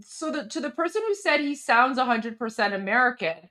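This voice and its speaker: A woman's voice: fairly high pitch, brisk. A woman with a Canadian accent hosting a livestream in casual, rambling chat full of fillers. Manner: rambling, filler-heavy, animated. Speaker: livestream host.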